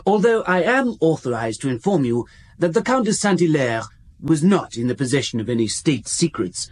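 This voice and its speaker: A fussy, high-pitched male government official. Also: very animated, normal speed. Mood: cautious, officious. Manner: formal, hesitant, pedantic, circumspect. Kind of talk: radio drama acting.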